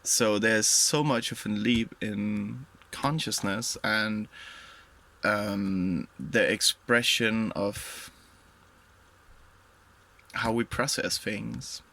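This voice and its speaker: Young guy rambling slowly in english, lots of ums and trailing off, gravelly low-ish voice. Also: pushed and bright. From Denmark. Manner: hesitant, many fillers and trailing pauses. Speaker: vlogger. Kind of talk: rambling reflective monologue.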